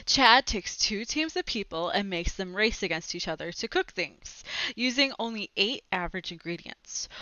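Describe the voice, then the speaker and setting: A young woman reading a children's story aloud into a headset mic in an expressive, clearly phrased manner, with little asides. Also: mid-range voice, no echo, lo-fi sound. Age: young adult. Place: small home room with bookshelves, indoors. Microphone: headset microphone.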